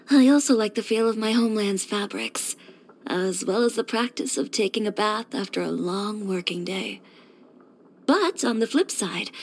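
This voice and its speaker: A woman's voice: mid-range voice, a little hiss or hum, rough recording. A young woman voicing a confident, blunt fashion designer and boutique owner in a scripted video game, with a poised, direct delivery. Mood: fond, wistful. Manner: self-assured, direct, poised with dry sighs.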